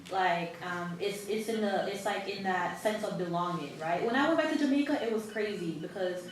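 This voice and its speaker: A woman on a discussion panel, talking in a thoughtful, halting, casual way. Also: medium pitch, a little hiss or hum. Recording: echoey hall from far off.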